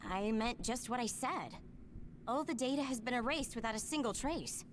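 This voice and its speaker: A woman's voice: a little high, moderate pace, a little hiss or hum, lightly acted. A woman voicing a blunt, tech-minded squad member in an English video game dub. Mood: incredulous, blunt.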